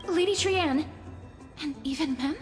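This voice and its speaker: A woman playing a composed, solemn young scholar-priestess in a video game, speaking in a measured, formal way. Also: sits high. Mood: concerned. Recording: faint game music behind.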